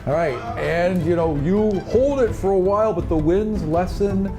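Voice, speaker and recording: A man's voice: high voice, moderate pace, music in the background. A man narrating a tabletop fantasy game as game master, moving conversationally between rules talk and scene description.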